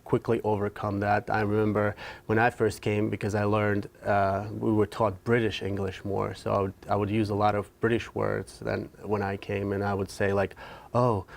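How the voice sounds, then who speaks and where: A young man from Ukraine, speaking as an interview guest and pausing often with fillers and restarts. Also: lowish voice, monotone, gravelly. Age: young adult. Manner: hesitant, many fillers and restarts. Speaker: student guest. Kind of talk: casual interview talk.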